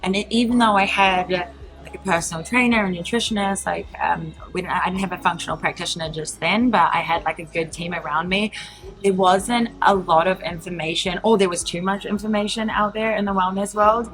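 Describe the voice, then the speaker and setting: A woman's voice: fairly low pitch, steady tone, a little fast, a bit bright, soft background music, rough recording. A woman in her thirties with a New Zealand accent, chatting as an interview guest with many fillers and frequent laughs. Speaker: guest, entrepreneur. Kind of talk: casual interview talk.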